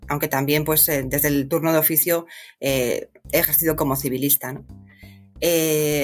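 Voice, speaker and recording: A middle-aged woman from Madrid with a Madrid Spanish accent, talking casually as an interview guest. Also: deep voice. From Madrid, Spain.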